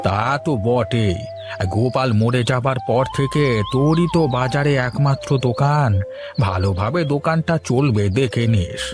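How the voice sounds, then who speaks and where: Cartoon casting: man as nosy, grudging old uncle, lively swings in pitch, music behind. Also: measured pace, close to an everyday voice. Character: spiteful gossiping old village uncle. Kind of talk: Bengali cartoon story voice acting.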